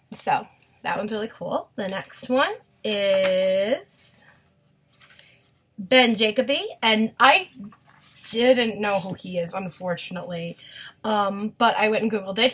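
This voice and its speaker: A woman's voice: medium pitch, a bit bright, slightly rough. A woman in her twenties chatting casually and enthusiastically to her viewers, rambling and gushing like a devoted fan. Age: 20s. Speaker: vlogger showing autographs.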